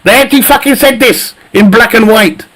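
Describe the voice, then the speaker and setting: A man's voice: very high-pitched, a little fast, fairly bright tone. A man chatting casually with his live stream viewers, swearing a lot and laughing often at his own jokes. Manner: profane, jokey banter, laughs often. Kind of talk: casual chat with viewers while gaming.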